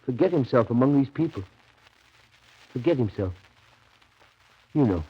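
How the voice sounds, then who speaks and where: An actor playing a frantic, devoted Russian camp follower with a husky voice in a 1930s radio drama. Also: male voice, medium pitch, lively intonation, a little slow. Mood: agitated, rambling. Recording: muffled 1930s radio broadcast.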